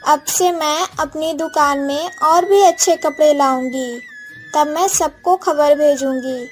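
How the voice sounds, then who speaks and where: A woman's voice: high voice, unhurried, soft background music. A woman voicing a wronged but proud orphan village girl in a Hindi moral-story cartoon, speaking earnestly and dramatically. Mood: proud, polite.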